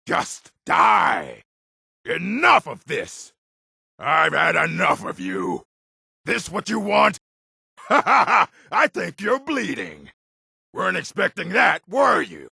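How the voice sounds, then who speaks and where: An actor playing a gruff, aggressive orc warrior in a video game, with a rough, gravelly voice and a blunt, menacing manner. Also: male voice, high voice, sing-song, slow pace, pushed and bright, no echo, studio quality, full character voice. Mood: combative, shouting. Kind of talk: video game character barks.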